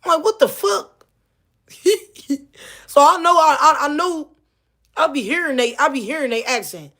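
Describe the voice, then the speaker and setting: A woman's voice: high-pitched, unhurried, bright, forceful tone. A woman with a Missouri accent, ranting casually to camera, heated and profane, repeating herself. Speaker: vlogger.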